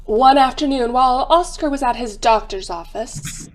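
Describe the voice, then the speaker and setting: A woman giving dramatic scripted narration for an old-time radio mystery in a measured, wry, knowing manner. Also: high voice, heavy background noise.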